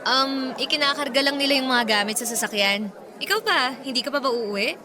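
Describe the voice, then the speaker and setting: An actress playing a friendly young band singer chatting casually in a Filipino radio drama. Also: female voice, high-pitched, fairly quick, crowd noise, natural voice.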